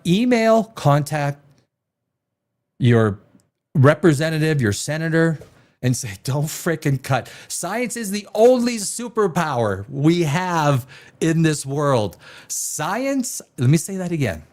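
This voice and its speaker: A man's voice: fairly high pitch, a little mellow. A man from the Czech Republic, hosting a solo livestream, rambling from one tangent to the next with stammered restarts and swearing. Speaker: livestream host.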